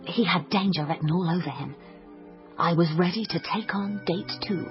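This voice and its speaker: A woman's voice: on the low side, normal speed, lightly acted. An actress playing a naive, dreamy, chatty young woman in a radio drama, wry and melodramatic, by turns narrating and flustered. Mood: wry narration. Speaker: lead character and narrator. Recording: dull lo-fi radio sound under music.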